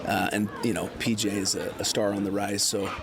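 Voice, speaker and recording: A man's voice: fairly low pitch, flat delivery, a little fast. A man, a football coach, answering reporters informally at an outdoor media scrum. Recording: outdoors with busy crowd behind.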